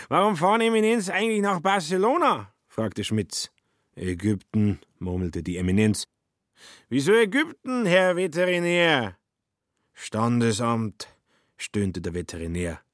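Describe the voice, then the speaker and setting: A man narrating a literary radio play, acting out the quoted dialogue as he tells it. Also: lowish voice. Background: music.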